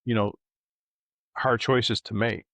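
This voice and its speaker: A middle-aged man co-hosting a podcast in casual, reflective conversation, thoughtful and halting, often checking in with a questioning tag. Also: mid-range voice, fairly even intonation, vocal fry, fairly bright tone, no echo. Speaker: podcast co-host.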